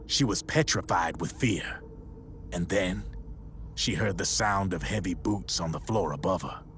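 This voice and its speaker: A grim game soldier with a low voice. He tells dark tales in a measured, ominous, folksy manner, with faint noise behind him. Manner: measured, ominous, colloquial storytelling. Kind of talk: dramatic game cutscene storytelling.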